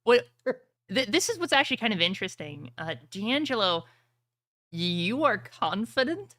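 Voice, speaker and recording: A woman's voice: mid-range voice, big pitch swings, moderate pace, pushed and bright, no room sound. A woman playing a tabletop roleplaying game, mixing casual table talk with improvised narration.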